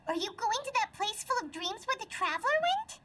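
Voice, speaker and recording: A woman voicing a small, earnest, excitable childlike fairy companion in a video game cutscene. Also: extremely high pitch, brisk, far from the mic, a crowd in the background. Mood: puzzled, worried. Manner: refers to self in third person, childlike. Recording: game audio with loud music behind.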